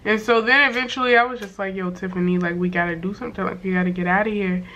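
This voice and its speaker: A young woman with a voice worn hoarse from sickness, telling a story to camera in a loose, slangy way full of fillers and swearing. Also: mid-range voice, steady tone, fast talker, a bit bright, highs cut off. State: hoarse from being sick. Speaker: vlogger. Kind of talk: casual storytime chat to camera.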